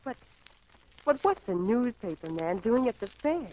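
A woman's voice: on the high side, very animated, a little slow, mellow. An actress playing a coy, sweet, sheltered young woman in 1940s radio drama style. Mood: shy, smitten. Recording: dull 1940s radio recording, audience noise.

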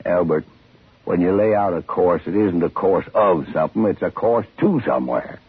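A low, very husky middle-aged father, stubborn and quick to argue. He talks like a proud boaster in a 1950s radio play, heard through a thin, muffled vintage recording. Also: expressive, full character voice. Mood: bossy. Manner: boastful, opinionated, argumentative. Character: stubborn middle-aged father boasting about his old steam car. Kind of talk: 1950s radio drama acting.

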